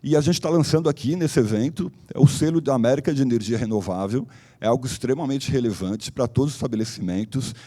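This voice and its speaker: A man who is a company director, slightly hoarse, giving a conference presentation in a loose, explanatory way with frequent fillers and restarts. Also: medium pitch, fast, mellow. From Brazil. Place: conference hall.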